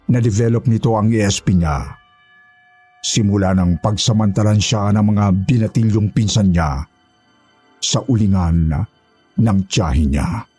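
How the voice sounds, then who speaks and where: Man as humble houseboy storyteller, very husky, low dark voice, earnest, polite, horror narration, faint music behind. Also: measured pace, pauses often, no room sound. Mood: recounting, earnest. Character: shaken former orphanage houseboy, humble male storyteller.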